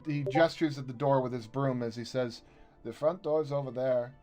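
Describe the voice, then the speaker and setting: A man in his thirties acting as game master and stream host, narrating and chatting in a halting, conversational way. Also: medium pitch, steady tone, a little mellow. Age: 30s. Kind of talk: improvised tabletop game narration and casual chat. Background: music.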